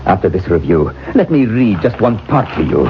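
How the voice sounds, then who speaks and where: A proud, vengeful author who swings from raging outbursts to quiet menace. His pitch leaps wildly across a wide range. The sound is dull and lo-fi, like a 1970s radio drama, with faint hiss. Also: very animated, normal speed. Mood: furious. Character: proud, vengeful male witchcraft author, seething.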